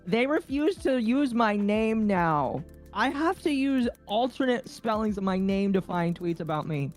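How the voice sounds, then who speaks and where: A woman's voice: medium pitch, expressive, unhurried, darkish tone. A woman chatting casually as a podcast co-host, with a teasing, mock-serious manner. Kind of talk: casual chat. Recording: background music under voices. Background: background music.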